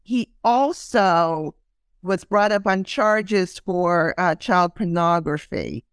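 A woman's voice: lowish voice, expressive, slow, smooth, dry recording, clean studio sound. A woman hosting a podcast in casual interview chat, talking loosely with many ums and fillers. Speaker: podcast host.

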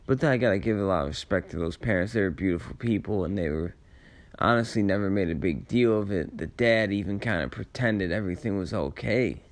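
A man with a raspy voice, tired late in the day, telling personal stories in a casual, rambling, halting and reflective way with laid-back slang. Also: deep voice. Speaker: storyteller sharing personal memories.

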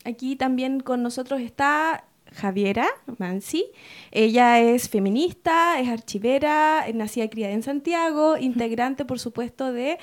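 A woman's voice: sits high, a little slow. A woman with a Chilean Spanish accent presenting on a radio programme as one of its hosts. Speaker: radio host.

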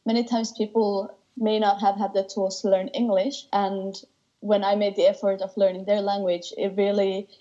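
A woman's voice: medium pitch, level tone, normal speed, warm tone, lo-fi sound. A woman in her twenties from Finland, a guest in a casual interview, talking with enthusiasm, restarting her sentences often and laughing lightly. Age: 20s.

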